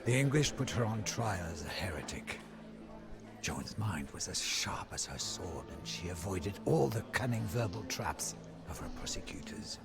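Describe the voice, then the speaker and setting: War-weary French soldier, game cutscene narrator, grave and theatrical, creaky deep voice, measured delivery, prominent musical score. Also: male voice, big pitch swings, over music. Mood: grieving, bleak. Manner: solemn, theatrical, measured delivery with hushed phrase endings. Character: battle-weary French soldier narrator, devoted to Joan of Arc. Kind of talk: dramatic storybook journal narration.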